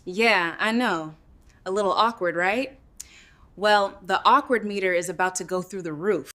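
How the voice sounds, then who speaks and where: A woman's voice: mid-range voice, unhurried, fairly bright tone, no echo, close to an everyday voice. A woman playing a bold young superhero-comic character in a motion comic. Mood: frank, determined.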